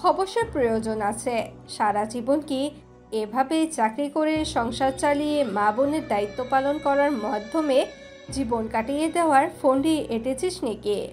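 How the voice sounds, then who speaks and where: Woman as bossy, protective big sister in audio drama, high voice, faint music behind. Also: a little slow, a little mellow. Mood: firm. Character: firm, protective elder sister. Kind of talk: audio story acting.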